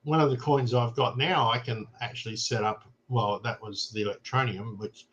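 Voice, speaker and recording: A man's voice: medium pitch, steady tone, normal speed, dull audio, a loud crowd. An Australian man hosting a casual talk show, explaining things plainly and often checking in with "right?". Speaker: show host. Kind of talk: casual chat.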